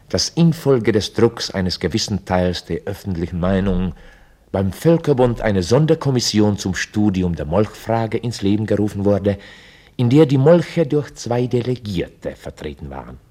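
The chronicler of a radio drama. His voice sits a little low and moves with lively intonation, while his scripted narration stays formal and matter-of-fact. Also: husky, exaggerated voice. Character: chronicler recounting a mock history.

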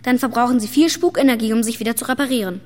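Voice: medium pitch, rapid-fire, lightly acted. An actor playing a plucky, eager young boy ghost hunter in a German children's audio drama. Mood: eager, knowing. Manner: eager, explains ghost lore in detail. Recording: reverberant hall, music bed.

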